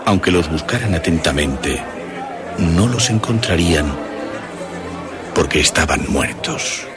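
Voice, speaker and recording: A man narrating a scripted horror story for radio in a measured, dramatic storytelling manner. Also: deep voice, animated, a crowd in the background.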